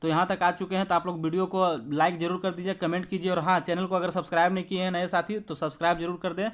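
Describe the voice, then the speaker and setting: A man's voice: high voice, flat delivery, fast talker. A man coaching exam students, explaining quiz answers in an instructive way and addressing viewers familiarly. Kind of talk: quiz walkthrough lesson with explanations.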